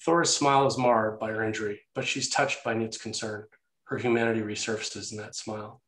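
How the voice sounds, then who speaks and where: A man's voice: lowish voice, level tone, fairly quick, a bit bright. A man narrating screenplay action and scene headings as a scripted read. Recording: echoey room sound.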